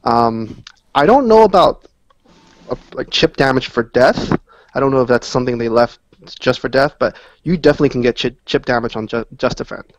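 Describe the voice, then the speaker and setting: A man talks as a guest player on a gaming chat show, in a middle-pitched voice. He explains things casually and off the cuff, with loose conversational phrasing. The sound is dry, with very little room. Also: animated, a little fast, dry recording, low-quality recording. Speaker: guest player giving game analysis. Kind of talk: casual unscripted game discussion.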